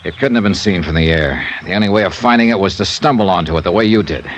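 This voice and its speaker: An actor playing a cool, probing American insurance investigator, clipped and matter-of-fact, in 1950s radio drama style. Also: male voice, low-pitched, animated, quick pace. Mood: grim, matter-of-fact. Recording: faintly noisy old radio recording.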